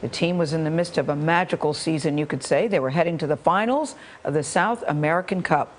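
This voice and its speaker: A woman's voice: low-pitched, a little fast. A woman working as a news anchor, reading a scripted introduction to a report.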